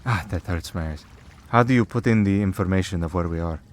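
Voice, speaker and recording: A man's voice: sits low, brisk, close to an everyday voice. A man playing a centuries-old vampire priest in an audio roleplay, dry, sardonic and protective. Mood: exasperated, dry.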